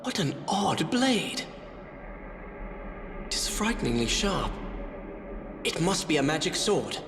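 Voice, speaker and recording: Expressive, high-voiced young prince. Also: male voice, takes it slowly, creaky, heavily acted. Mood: formal, wary. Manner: formal, earnest, theatrical. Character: earnest anguished young fantasy prince. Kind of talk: dramatic video game voice acting. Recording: reverberant hall sound with music behind.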